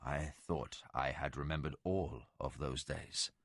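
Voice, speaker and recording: A man's voice: a bass voice, fairly even intonation, unhurried, a bit bright, dry recording, clean studio sound, pitched below the performer's own voice, heavily acted. A man voicing an ancient, commanding male warrior of legend, grave and solemn. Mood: haunted.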